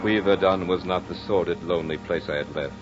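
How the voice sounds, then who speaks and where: A man's voice: low-pitched, fairly even intonation, fairly quick, natural voice. An actor playing a French nobleman and former soldier in an old radio drama, speaking earnestly in a formal, theatrical way. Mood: wistful, happy. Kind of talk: 1940s radio drama acting. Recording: dull old radio recording with music.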